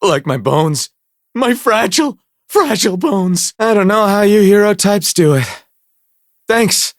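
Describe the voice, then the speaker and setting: Scaredy nervous islander in a video game, whimpery and stammering, wobbly pitch. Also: male voice, lively intonation, measured pace, no echo, studio quality. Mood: frightened. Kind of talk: video game character acting.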